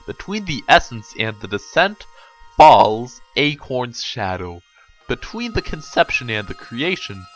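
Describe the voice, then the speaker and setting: A man's voice: medium pitch, a bit bright, rough recording. A man giving a theatrical, emphatic dramatic reading of a comedic story. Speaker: narrator reading a book aloud.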